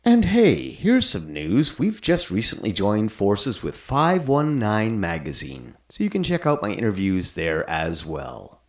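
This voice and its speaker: A man's voice: lowish voice, very animated, measured pace, creaky, hardly any pauses. A man speaking as a podcast host, delivering a scripted show outro.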